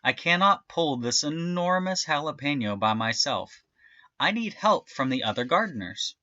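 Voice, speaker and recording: A weary, determined old farmer from a children's storybook, played by a man. He exclaims and pleads for help in a bright, forceful tone. The recording is dry and a little dull. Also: a little high, animated, normal speed, dry recording. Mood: straining, pleading.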